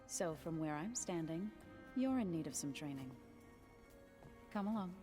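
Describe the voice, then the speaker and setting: An actress playing a wise, composed mentor in a video game, with a wry, gently firm manner. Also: female voice, fairly low pitch, expressive, measured pace, faint music behind. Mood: wryly firm. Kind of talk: video game cutscene acting.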